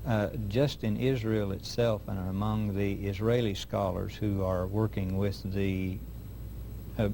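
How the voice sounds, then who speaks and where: A man hosting an interview, asking questions and telling an anecdote in a measured, deliberate way. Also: fairly low pitch, steady tone, vocal fry, dark tone, a little hiss or hum. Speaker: host interviewer.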